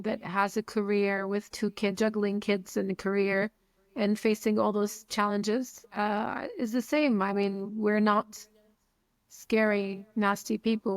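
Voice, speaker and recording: A woman's voice: medium pitch, no room sound. Frank Palestinian-accented woman in her forties. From Saudi Arabia. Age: 40s. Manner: frank, repeats words when starting a thought. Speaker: guest. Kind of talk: casual interview talk.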